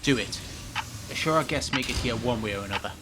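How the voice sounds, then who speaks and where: An actor playing a scheming, controlling villain boss in a sci-fi audio drama, formal and commanding with veiled menace. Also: male voice, medium pitch, fast, over music, natural voice. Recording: very noisy with clicks.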